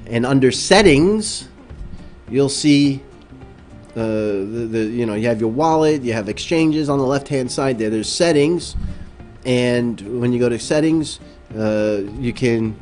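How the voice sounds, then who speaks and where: Male livestream host, mid-pitched and unhurried, loose and filler-heavy, desk mic, soft music underneath. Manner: rambling, many fillers, reads chat aloud. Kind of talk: casual late-night livestream chat. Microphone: desk microphone.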